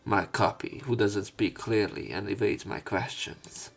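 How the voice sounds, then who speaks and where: A man with a lowish voice does a let's play of a visual novel. He reads the text aloud theatrically and breaks off for his own commentary. His delivery is expressive. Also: a little slow, vocal fry, faint music behind. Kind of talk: dramatic read-aloud with commentary.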